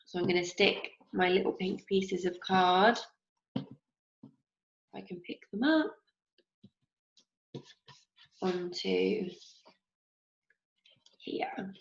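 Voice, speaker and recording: A young woman teacher with a slightly croaky voice, gently leading a craft lesson for young children and asking them questions as she goes. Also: mid-range voice. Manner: slow, encouraging, asks children questions. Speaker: primary school teacher. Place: home kitchen, indoors. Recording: roomy, dull lo-fi sound.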